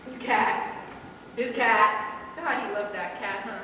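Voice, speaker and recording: An actress playing a worried, exasperated young sister in a live amateur stage play. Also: female voice, mid-range voice, slow pace, pushed and bright. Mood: curious. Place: small theatre stage, distant microphone. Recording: echoey, noisy theatre recording from far off.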